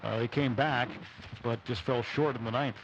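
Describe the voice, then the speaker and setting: A man's voice: mid-range voice, steady tone, normal speed, slight background noise. Dull-sounding male baseball radio broadcaster. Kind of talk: unscripted postgame game analysis.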